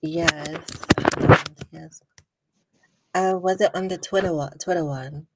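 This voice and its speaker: Woman cohost, lowish voice, talks real slow, mumbly asides, faint background noise. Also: sing-song, gravelly. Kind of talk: casual podcast chat.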